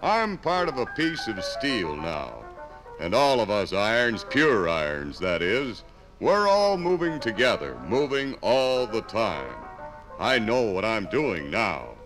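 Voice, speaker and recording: Happy little iron particle, old radio show, american, super animated, music behind. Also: male voice, fairly low pitch, slow, low-quality recording. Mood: gleeful, chatty. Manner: exuberant, theatrical, folksy. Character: cheerful talking particle of pure iron, chatty and proud. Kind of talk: 1950s radio dramatization. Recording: 1950s radio transcription on LP. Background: background music.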